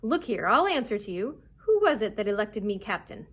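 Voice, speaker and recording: A woman voicing a bold, wily male pirate captain in a storybook, with rough, cajoling sailor talk. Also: mid-range voice, lively intonation, normal speed, a bit bright. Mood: angry, scolding.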